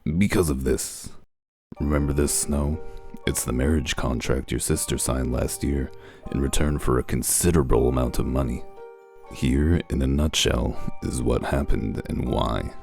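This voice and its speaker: A man playing a gruff, world-weary detective in a comic dub, with a gravelly voice and a methodical, matter-of-fact way of explaining. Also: a bass voice, steady tone, moderate pace, a little mellow, soft background music. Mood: matter-of-fact, shrewd.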